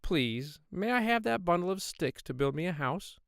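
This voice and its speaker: A man voicing a small, clever male pig in a storybook reading, speaking high and politely. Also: expressive, normal speed, gravelly, fairly bright tone, clean tone, no echo, studio quality, exaggerated voice. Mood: pleading.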